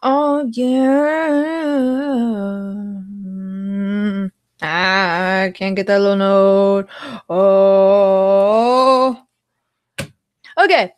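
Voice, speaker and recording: A woman's voice: mid-range voice, extremely slow, long pauses, clear, resonant tone, dry recording. A young woman streaming casually, rambling about singing and breaking into short song snippets. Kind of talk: casual livestream chat with singing.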